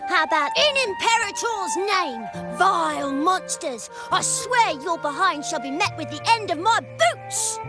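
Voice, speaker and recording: A small, bombastic child hero in a video game. The voice is extremely high and animated, drawing out its overwrought battle declarations slowly. Loud music runs behind it. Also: female voice. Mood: bombastic, indignant. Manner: overwrought, declamatory, drawn-out delivery. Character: child play-acting a valiant, bombastic holy warrior.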